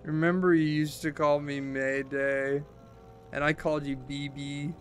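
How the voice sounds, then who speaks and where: A man's voice: fairly high pitch, flat delivery, unhurried, faint music behind, close to an everyday voice. A man voicing a drunk young college dropout from a game, crude and maudlin, rambling in slangy, self-deprecating bursts.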